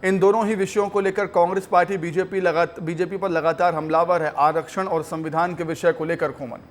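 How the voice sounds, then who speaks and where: A man's voice: sits high, level tone, fairly quick. A man anchoring live television news in an emphatic way, often repeating phrases for stress.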